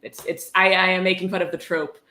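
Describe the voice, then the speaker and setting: A woman's voice: lowish voice, fairly even intonation, brisk, bright, forceful tone. A woman hosting a casual commentary, reading aloud and breaking off for sarcastic, mock-approving asides. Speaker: host. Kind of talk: casual live commentary with a read-aloud book. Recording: echoey room.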